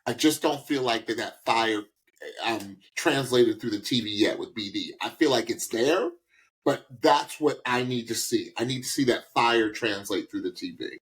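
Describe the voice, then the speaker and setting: A man's voice: medium pitch, normal speed. A man co-hosting a podcast with casual, emphatic reaction commentary, repeating phrases for effect. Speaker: podcast co-host.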